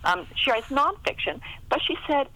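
A woman's voice: high voice, very animated, normal speed, bright, forceful tone, rough recording. A middle-aged American woman chatting casually and telling anecdotes as an interview guest. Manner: chatty, laughs often. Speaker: interview guest, historical fiction author.

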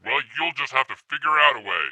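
An actor playing a hapless, easily flustered kidnapper in an audio comedy, hesitant and defensive. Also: male voice, medium pitch, animated, fast, sharp tone, no room sound, lo-fi sound. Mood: insistent. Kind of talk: comedic audio drama acting.

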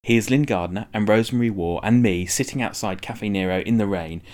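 A man with a husky voice, hosting a casual podcast discussion. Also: fairly low pitch, brisk, darkish tone, recorded from a distance. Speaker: podcast host. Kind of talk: casual discussion and read sponsor message. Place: outdoors outside a street cafe.

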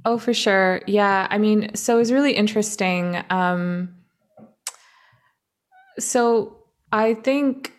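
A young woman who grew up in Canada, speaking as a guest in an interview and talking thoughtfully, with frequent pauses, fillers and restarts. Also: medium pitch. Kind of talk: reflective interview talk.

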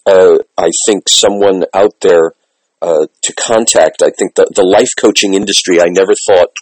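A man's voice: low voice, fairly even intonation, normal speed, breathy edge, no room sound, low-quality recording. A man speaking casually as an interviewer in conversation.

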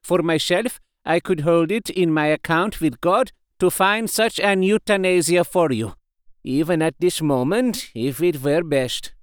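Man voicing a grave fatherly old professor, slow pleading words, smooth higher voice, dry close sound. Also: no echo, lightly acted. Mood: solemn. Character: older male professor, solemn, fatherly.

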